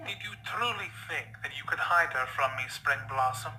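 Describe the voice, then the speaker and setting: A silky, sharp-voiced villainous vampire stallion. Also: male voice, on the high side, lively intonation, low-quality recording. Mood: condescending, threatening. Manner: theatrical, silky, mocking, drawn-out phrasing. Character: sinister suave male vampire stallion, condescending, mockingly tender. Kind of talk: fan audio drama character acting.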